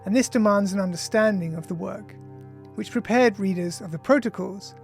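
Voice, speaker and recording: An author reading their own nonfiction audiobook in a measured, formal, deliberate way. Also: mid-range voice, gravelly, faint music behind. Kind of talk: scripted audiobook narration of an essay.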